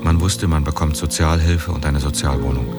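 An older man, a former camp resident, calmly recalling the past in a documentary interview. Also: extremely low pitch, normal speed. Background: loud music bed.

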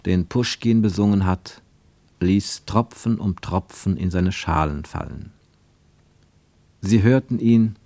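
A man narrating a radio drama in a measured, deliberate storytelling manner, recorded in a studio. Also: low voice, dark tone, dry recording. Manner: measured, deliberate storytelling with slowed emphatic phrases.

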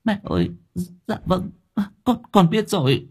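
A man voicing a timid middle-aged daughter-in-law who stammers and pleads softly. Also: a little high, lively intonation, moderate pace, breathy edge, pitched above the performer's own voice. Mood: pleading.

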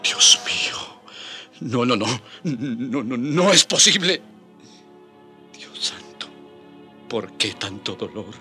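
A man's voice: mid-range voice, sing-song, slow, pauses often, pushed and bright, faint music behind, full character voice. A man playing an elderly, devout and stubborn friar bishop in a radio drama, with an emotional, pleading manner. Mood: shocked grief. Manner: emotional, hesitant repetitions, pleading.

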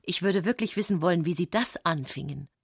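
A composed, coolly ironic lady of society in a radio play. Her voice is low and husky, recorded very dry. The delivery is measured and formal. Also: lively intonation, no echo, full character voice. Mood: curious. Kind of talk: literary radio drama narration.

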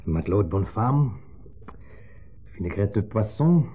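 A man's voice: on the low side, big pitch swings, measured pace. A male actor playing a smug, needling ex-husband in a 1970s Dutch radio drama, theatrical and falsely jovial in an insinuating way. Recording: muffled old 1970s radio recording.

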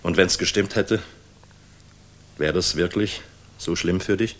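Deep, wry, world-weary general. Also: male voice, measured pace, fairly bright tone, a little hiss or hum. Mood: tender. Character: weary, wry middle-aged air force general, fatherly, worldly. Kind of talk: 1960s German radio drama acting.

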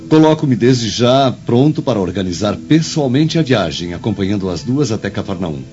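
A formal, obliging Roman gentleman voiced by a man speaks ingratiatingly in a fairly low voice in a radio drama. The sound is lo-fi, with music behind. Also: lively intonation, normal speed, close to an everyday voice. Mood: courteous. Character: smooth, obliging Roman official offering escort.

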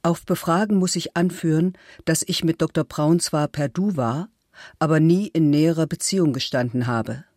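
A woman's voice: low-pitched, darkish tone, dry recording. Composed woman reading in a dry studio. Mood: composed, firm. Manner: measured, formal, articulate. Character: accused middle-aged woman, composed and firm. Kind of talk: documentary reading of statements and letters. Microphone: close studio microphone.

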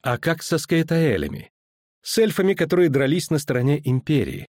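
A grave noblewoman pressing with pointed questions, as a male reader puts her on. The voice lifts high, and the recording is dry. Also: big pitch swings, normal speed, darkish tone, dry recording. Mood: probing. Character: serious probing female noblewoman, questioning.

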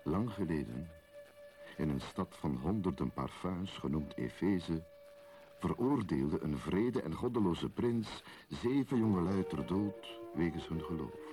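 An actor playing a solemn storyteller of a mystical legend, narrating poetically and speaking straight to the listener in a radio play. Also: male voice, low-pitched, lively intonation, measured pace, over music. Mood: solemn, mysterious. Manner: solemn, incantatory, addressing the listener directly.

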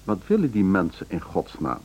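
A man's voice: low voice, huge pitch swings, normal speed, muffled recording, a little hiss or hum. A man playing a complacent, paternalistic board chairman in a Dutch radio play, condescending and self-assured. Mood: amused, condescending.

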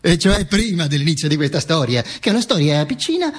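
An actor playing a dead, vain fascist dictator who narrates his own afterlife in a halting, mock-solemn stage monologue. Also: fairly high pitch, big pitch swings, husky. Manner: halting, stammering repetitions, mock-solemn declaiming. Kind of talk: theatrical monologue, narrating to an audience.

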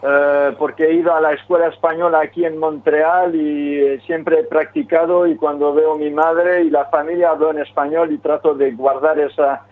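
A man from Quebec, Canada, who speaks French as his first language, talking haltingly with many restarts in a phone interview about his band. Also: fairly high pitch, level tone. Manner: hesitant, many restarts and fillers. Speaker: singer of a metal band, interviewee. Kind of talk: phone interview, casual talk. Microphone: telephone. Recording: phone line.

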